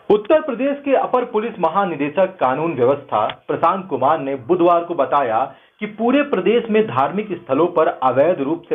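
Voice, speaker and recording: A man hosting a news show, delivering scripted commentary in an emphatic, rhetorical way. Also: high-pitched. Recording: narrow phone-like band, thin sound.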